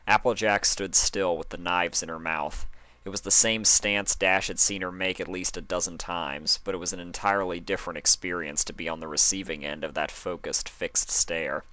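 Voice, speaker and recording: A man's voice: deep voice, fairly even intonation, normal speed, bright, forceful tone, dry recording. A man reading fan fiction aloud as dramatic story narration.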